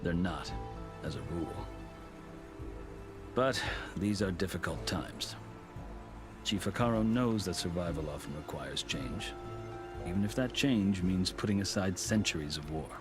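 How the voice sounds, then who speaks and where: Proud veteran warrior-lawgiver, deep voice, measured formal storytelling for a game cutscene, music underneath. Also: male voice. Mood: earnest, hopeful. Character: seasoned, proud male warrior turned lawgiver, earnest storyteller. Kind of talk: scripted video game cutscene dialogue. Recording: music behind the voice.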